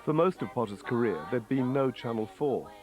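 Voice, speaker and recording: A man narrates a documentary from a script, at a middling pitch. Music plays softly behind his voice. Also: expressive, moderate pace.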